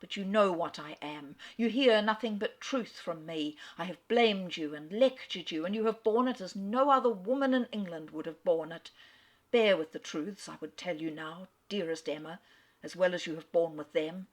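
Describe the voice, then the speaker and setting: Casting: woman voicing a solemn, urgent gentleman suitor, low-set voice. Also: moderate pace, close to an everyday voice. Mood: tender. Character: earnest gentleman declaring his love.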